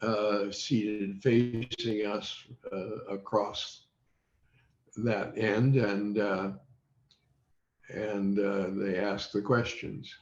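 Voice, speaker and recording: An older man with a lowish voice recalls the past at a very unhurried, halting pace. He often stops mid-sentence as he searches through memories. The sound is dull and echoes around the room, as on a video call. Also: little pitch movement. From United States, Midwest. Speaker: interview guest recalling radio childhood. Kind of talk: unscripted reminiscence in an interview.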